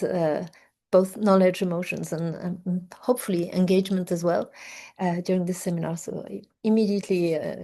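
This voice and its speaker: A woman opening an academic seminar as host, speaking English with frequent hesitant pauses. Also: fairly low pitch, dark tone. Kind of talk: seminar introduction. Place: seminar room, hybrid session.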